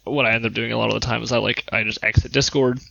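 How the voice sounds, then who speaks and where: Bright, creaky-voiced man hosting. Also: medium pitch, flat delivery, racing, no echo, lo-fi sound. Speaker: podcast host. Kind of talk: casual interview chat.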